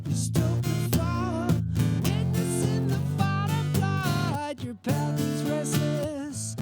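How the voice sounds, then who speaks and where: High-voiced woman singing slowly over guitar. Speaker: singer. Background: acoustic music, guitar.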